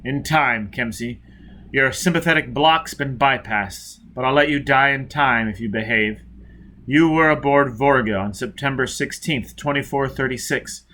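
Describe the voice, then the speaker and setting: A man's voice: medium pitch, a little slow, sharp tone. A man voicing a vengeful, merciless spaceman who presses his captive with blunt, taunting questions in a science fiction audiobook. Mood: cold taunting.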